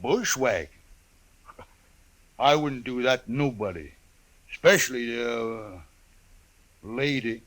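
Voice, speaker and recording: An actor playing a huge, simple, blunt buffalo hunter with a rustic drawl in a 1950s western radio drama. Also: male voice, medium pitch, a bit bright. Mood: smitten, earnest. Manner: slow, rustic ungrammatical drawl.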